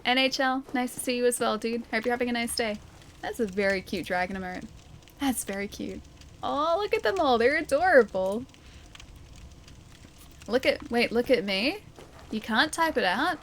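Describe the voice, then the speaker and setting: A woman's voice: on the high side, expressive, moderate pace, pauses often, a little hiss or hum. An Australian woman streaming a video game, chatting casually with her viewers, reading their messages aloud and laughing often. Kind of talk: casual live-stream gaming chat.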